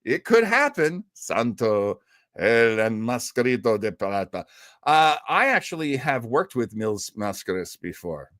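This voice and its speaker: A man's voice: medium pitch, big pitch swings, a little slow, a bit bright, dry recording. Casual man hosting a live stream, dry close sound. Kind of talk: casual chat with live viewers.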